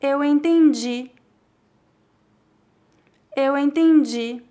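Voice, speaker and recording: A Brazilian woman teaching pronunciation on her channel, with a high voice. She speaks very slowly and articulates every word carefully so learners can repeat after her. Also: mellow. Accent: Brazilian Portuguese. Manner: clear, deliberate enunciation for learners. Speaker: Portuguese language teacher. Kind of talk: slow pronunciation lesson.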